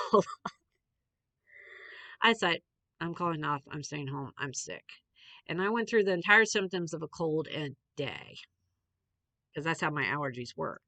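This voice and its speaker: A woman's voice: low voice, sharp tone, dry recording. A young adult woman, a little congested, chatting in a rambling, meandering way with many fillers as a podcast host. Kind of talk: rambling casual chat.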